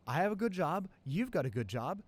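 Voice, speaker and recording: A man playing an excited young college boyfriend in an improvised ASMR roleplay, gushing and halting, repeating himself in sentimental amazement. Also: sits high. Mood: elated, giddy.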